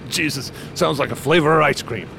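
A man's voice: on the high side, unhurried, gravelly, fairly bright tone, slight background noise. An actor playing a chatty, boastful male state trooper detective who laughs at his own stories, in a radio drama.

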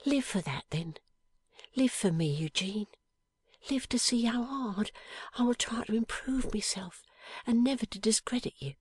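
A woman voicing a calm, loving young wife who speaks gently and earnestly in an audiobook. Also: a little high, a little slow, slightly rough, no echo, studio quality, voice pushed up. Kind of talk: audiobook character voice.

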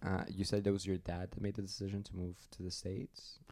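A man's voice: sits low, monotone, a little fast, a little mellow. A man hosting a casual interview, talking in an easygoing way with fillers and repeated words. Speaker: podcast host.

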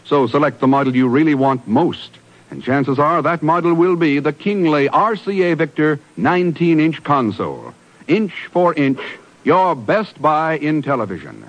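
A man's voice: fairly high pitch, lively intonation, normal speed, highs cut off. A man doing scripted 1950s radio announcing and advertising. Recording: vintage radio broadcast with music. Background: music.

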